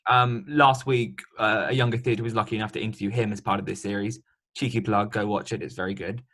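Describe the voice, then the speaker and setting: A man's voice: on the low side, steady tone, quick pace, a bit bright. A man interviewing a guest in a remote chat, talking chattily and loosely with many ums and likes.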